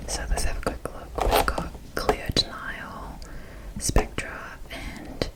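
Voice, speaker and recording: A woman speaking in a soft, unvoiced whisper, showing items in a casual, rambling ASMR style with pauses between short phrases. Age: adult. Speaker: ASMR video host. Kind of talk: whispered ASMR, unscripted doll showcase.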